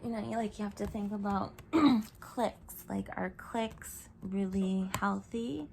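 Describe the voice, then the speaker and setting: Croaky woman giving a spiritual reading. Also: medium pitch, a little slow, darkish tone, a little hiss or hum, poor audio. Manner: meandering, reflective, frequent fillers and drifting into whispers. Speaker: tarot reader. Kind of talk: spiritual card reading monologue. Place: home room. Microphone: camera mic.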